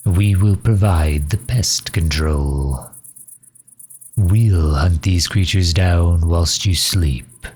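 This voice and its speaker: Man voicing a low, husky, courteous vampire leader. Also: full character voice. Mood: persuasive. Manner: formal, polite, old-fashioned diplomatic phrasing. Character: courteous, earnest male vampire leader pleading for refuge. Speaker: voice actor in an audio roleplay. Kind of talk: scripted ASMR roleplay, addressing the listener.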